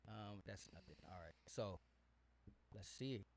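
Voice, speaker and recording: A man's voice: lowish voice, a little slow, gravelly. A man hosting a live studio stream, chatting casually in slang and repeating short phrases. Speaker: livestream host at a music studio. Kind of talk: casual live-stream chat and sound check. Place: music studio during a live session.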